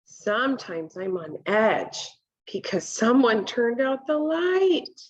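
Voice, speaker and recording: Slow, higher-pitched woman reading to children. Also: big pitch swings, muffled recording. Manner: deliberate, instructional, asks the listener questions. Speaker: read-aloud presenter for children. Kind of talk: children's picture book read-aloud with commentary. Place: home kitchen, indoors.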